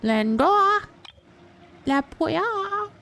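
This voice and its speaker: A woman's voice: very high-pitched, sing-song, very slow, creaky, a bit bright. A woman livestreaming a game with casual, blunt short reactions, often echoing the dialogue.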